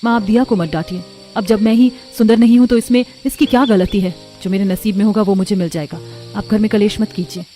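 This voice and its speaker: A woman voicing a gentle, dutiful young woman in a cartoon story, meek and conciliatory. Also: medium pitch, fairly even intonation, quick pace, faint music behind, lightly acted. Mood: resigned. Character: gentle, self-sacrificing, dutiful young elder sister. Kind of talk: Hindi cartoon story voice acting.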